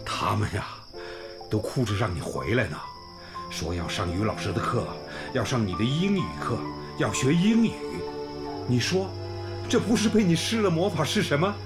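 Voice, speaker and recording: A man's voice: medium pitch, animated, normal speed, natural voice. An actor playing a kindly old village school principal in a radio drama, effusive and homely, sighing and exclaiming as he talks. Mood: fond, animated. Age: older, around sixties. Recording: music bed under the voice.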